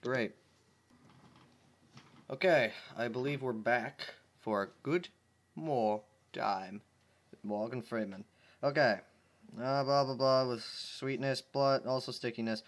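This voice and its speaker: A man's voice: medium pitch, slow pace, long pauses. Man reading aloud with sarcastic asides. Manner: sarcastic, exasperated running commentary. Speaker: channel host reading fan fiction aloud. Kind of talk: dramatic reading with comic asides.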